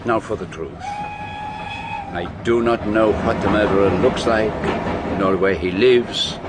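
An actor playing a shrewd, grave foreign detective with a French accent and precise, formal phrasing in a radio drama. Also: male voice, on the low side, huge pitch swings, slow pace, very noisy, full character voice. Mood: dry, exasperated. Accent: French-speaking European.

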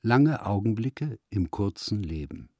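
A man's voice: lowish voice, very animated, a little slow, a little mellow, no room sound, studio quality. A man announcing a radio play in a scripted title read.

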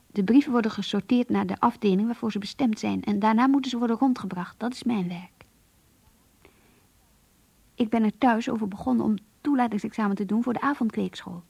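A woman's voice: a little high, gravelly, mellow, slightly rough, no room sound. An actress playing an earnest, melancholy young office clerk reading her diary in a radio drama, introspective and subdued.

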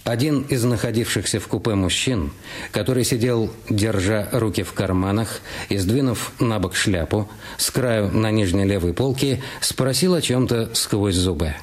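A man's voice: fairly low pitch, moderate pace. A man reading a detective novel as narrator in a scripted radio production. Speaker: narrator of an audio drama. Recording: clear music under the voice. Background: background music.

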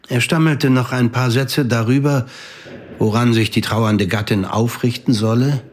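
A man reading scripted literary narration for an audio drama in a sober, composed way. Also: lowish voice.

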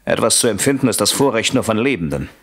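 An actor playing a betrayed husband out for revenge in a German radio thriller, with a polite, mocking and commanding manner. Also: male voice, fairly low pitch, animated, fast talker, fairly bright tone, slightly rough, exaggerated voice. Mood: coolly menacing. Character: coolly menacing betrayed husband plotting revenge on rival.

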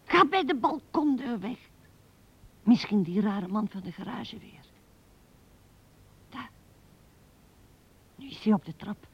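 An actress playing an old widow who muses tenderly and haltingly to her late husband in a radio drama, often dropping to a hush. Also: on the high side, slightly rough, full character voice. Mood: hushed, fearful. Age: older. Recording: dull 1970s radio recording.

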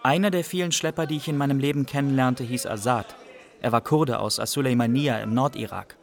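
A man's voice: mid-range voice, fairly quick, natural voice. An actor playing a young refugee who recounts his journey in plain, observant radio drama narration. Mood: sober recounting. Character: young male refugee recounting smugglers and border crossings.

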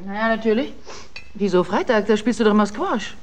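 A woman's voice: medium pitch, expressive, fairly quick, background noise, natural voice. An actress playing a needy, hard-drinking wife in a naturalistic radio drama, pleading and insistent in her speech. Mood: probing.

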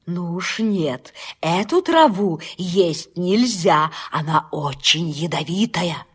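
A mother deer in a storybook audio play, speaking very slowly in a husky voice. She is gentle, patient and soothing, like a caring teacher of her young. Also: lowish voice, animated, full character voice. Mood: patient, cautioning. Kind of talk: children's audio fairy tale acting.

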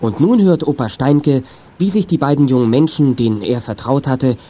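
A man narrating a youth detective radio play in a measured, matter-of-fact, scripted manner. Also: medium pitch, mellow, natural voice.

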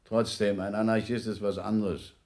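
Firm, indignant low-voiced anarchist played by a man. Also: little pitch movement, normal speed, natural voice. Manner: forceful, reproving. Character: firm, indignant male anarchist defending tolerance against a bully. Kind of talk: German radio crime drama acting.

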